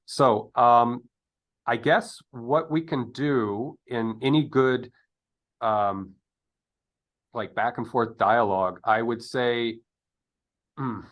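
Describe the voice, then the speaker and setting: A man hosting an interview show in a conversational style, with halting, rambling delivery and chatty asides. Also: mid-range voice, fairly bright tone.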